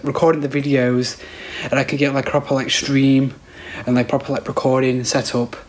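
A young British man chatting casually to camera as a vlogger, rambling and repeating himself, recorded on a phone at arm's length. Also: mid-range voice. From United Kingdom. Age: teens to young adult. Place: small rooms at home, conservatory; briefly outdoors. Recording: noisy handheld phone recording.

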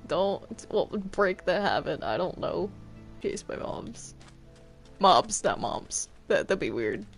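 A person chatting casually while roleplaying in a video game, with a halting delivery that often trails off. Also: medium pitch, big pitch swings, creaky, soft background music. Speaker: Minecraft roleplay player.

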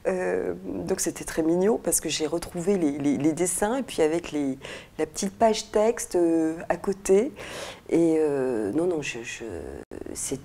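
Author in her forties, low mellow voice, searches for words, lapel mic. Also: a little slow. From France and Morocco. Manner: thoughtful, many hesitations and restarts. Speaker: author interviewed. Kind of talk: reflective interview answers. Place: indoor room with red walls, seated in armchair.

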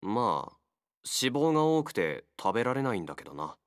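An actor playing a wary, feral young man in a game, a gruff loner fighter with blunt, halting speech. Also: fairly low pitch, big pitch swings, no room sound, clean studio sound. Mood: subdued, earnest. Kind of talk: Japanese game character voice acting.

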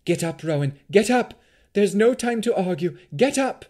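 A man's voice: sits high, expressive, normal speed, a little mellow, voice pushed up. A man voicing a protective sorceress mother in a fantasy story, urgent and commanding, in a raised, strained voice. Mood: frantic, shouting. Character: desperate protective sorceress mother urging her small daughter.

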